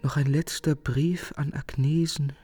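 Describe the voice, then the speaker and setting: An actor playing a world-weary, melancholy artist in a literary radio drama, speaking eloquently and earnestly with theatrical phrasing. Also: male voice, fairly high pitch, little pitch movement, a little slow, dark tone, breathy edge, soft background music. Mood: melancholy confession.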